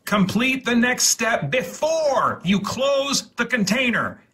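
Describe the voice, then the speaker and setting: A man reading an instruction guide aloud in a mock-serious, theatrical, deliberate way, with a husky voice. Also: extremely high pitch, big pitch swings, bright, forceful tone. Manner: theatrical, deliberate, repeats words for emphasis.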